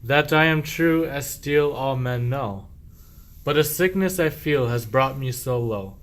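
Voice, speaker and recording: A man's voice: medium pitch, measured pace, fairly bright tone. A man playing a sly, boastful, self-pitying sheep thief in a medieval verse radio play, with declamatory rhyming speech. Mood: complaining.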